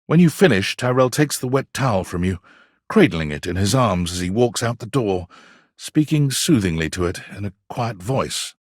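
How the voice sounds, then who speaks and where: A man narrates a video game story in a lowish, husky voice. His delivery is theatrical and swings widely in pitch as he tells the scene to the listener. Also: lively intonation, normal speed, no room sound, studio quality. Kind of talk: scripted second-person story narration.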